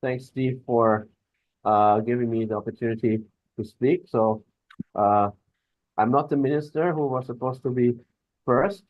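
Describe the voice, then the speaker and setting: A man's voice: medium pitch, a little slow, pauses often, no echo. Hesitant man on a dull call. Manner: hesitant, many "uh" fillers. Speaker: invited speaker. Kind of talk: online presentation. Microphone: video call. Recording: dull, dry video-call audio.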